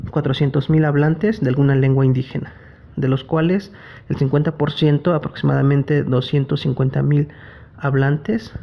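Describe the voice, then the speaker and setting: Gravelly-voiced man teaching a language lesson. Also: a little high, muffled recording, slight background noise. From Mexico. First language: Nahuatl.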